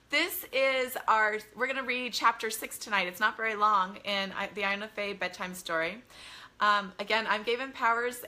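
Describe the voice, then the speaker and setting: A woman's voice: medium pitch, pushed and bright. A woman hosting a casual live bedtime-reading stream as a children's author, chatting to viewers in a gushing, enthusiastic way. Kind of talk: casual livestream chat with viewers.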